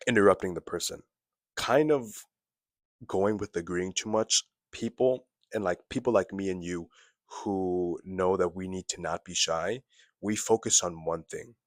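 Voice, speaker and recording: A young man with a very deep, low voice talks straight to the camera, giving casual advice. He often repeats phrases and checks in with a quick "okay?" The sound is dry and close, with no room echo. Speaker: self-improvement vlogger.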